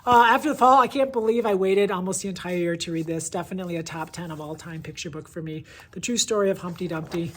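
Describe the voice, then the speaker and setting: A woman's voice: deep voice, a little hiss or hum. A woman presenting casual book reviews in an effusive, conversational, enthusiastic way.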